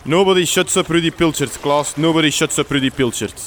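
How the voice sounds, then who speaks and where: An actor playing a touchy, sentimental Flemish bard in a comic radio drama, sulky and melodramatic. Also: male voice, a little high, fairly quick, fairly bright tone, slight background noise, lightly acted.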